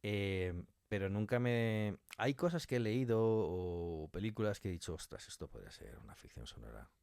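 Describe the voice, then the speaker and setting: A man speaking as a guest in a casual interview, a writer and director explaining his craft in colloquial, animated talk. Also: fairly low pitch, normal speed, vocal fry, clear, resonant tone, no room sound, studio quality.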